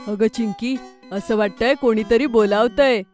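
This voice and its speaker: A woman's voice: a little high, moderate pace, darkish tone, faint music behind, lightly acted. A woman voicing an eager, helpful, easily scared young boy in a children's cartoon story, with a boyish voice. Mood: frightened.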